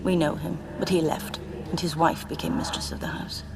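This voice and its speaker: An actress playing an aloof, enigmatic spirit in animal form, speaking in a measured, wry, formal way in a video game. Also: female voice, deep voice, steady tone, noisy background. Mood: matter-of-fact.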